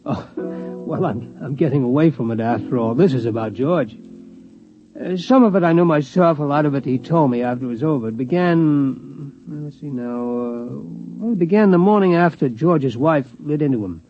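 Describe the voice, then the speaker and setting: An actor playing a timid, folksy old security guard, rambling and hesitant, in 1950s radio drama style. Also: male voice, fairly high pitch, moderate pace, natural voice. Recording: muffled vintage radio recording, faint music.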